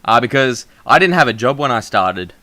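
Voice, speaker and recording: Loud, bright-voiced young man. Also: mid-range voice, lively intonation, normal speed. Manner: loud, projecting voice; frequent fillers and restarts, laughs at himself. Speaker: podcast host. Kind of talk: casual chat.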